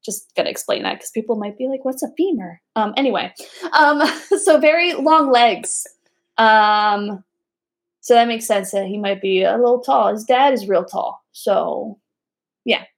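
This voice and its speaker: A young woman co-hosting a casual podcast, chatting, laughing often and using many fillers. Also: a little high. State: pregnant. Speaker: podcast co-host. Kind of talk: casual chat.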